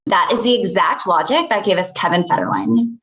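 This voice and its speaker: A woman's voice: medium pitch, a little fast, a crowd in the background, natural voice. Judgmental, dry young woman played by an actress, roomy recording, comedy table read. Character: judgmental, dry young Ivy League friend. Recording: roomy, echoey sound.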